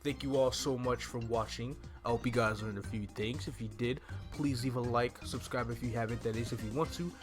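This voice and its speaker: A man's voice: mid-range voice, fairly even intonation, fairly quick, hardly any pauses. Young man casually commentating over game music. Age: young adult. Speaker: gaming video host. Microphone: desk microphone close to the mouth.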